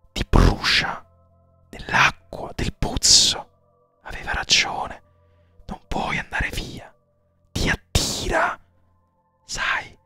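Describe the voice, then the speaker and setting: Man acting out a whispering, dying farmer gasping a warning. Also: bright, forceful tone. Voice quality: breathy, whispering, cracked. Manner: halting, broken, repetitive phrases.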